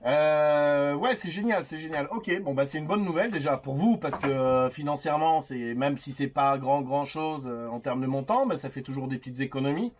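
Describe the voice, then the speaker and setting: A man's voice: fairly high pitch, moderate pace, hardly any pauses. Man chatting hesitantly on CB. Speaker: CB radio operator. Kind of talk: casual radio chat.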